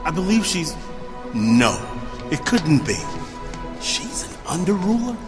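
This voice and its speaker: A man's voice: high voice, very animated, slow pace, heavily acted. A man playing an anxious, self-doubting former mayor awaiting judgment in an audio drama, earnest and often stammering. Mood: puzzled wonder. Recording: long hall reverb with clear music behind.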